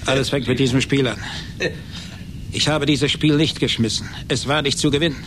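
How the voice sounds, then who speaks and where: An actor in a radio play playing a vengeful, obsessed genius inventor who speaks haltingly and theatrically. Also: male voice, medium pitch, steady tone, background noise, natural voice. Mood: gloating, obsessed. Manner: halting, theatrical, repeats words for emphasis.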